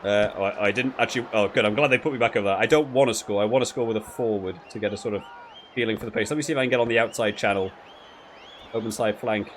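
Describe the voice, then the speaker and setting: A man's voice: fairly low pitch, fast talker, a little hiss or hum. A man giving casual video game commentary and review, thinking aloud in a rambling way and often rephrasing himself. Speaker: gaming channel host reviewing a rugby video game.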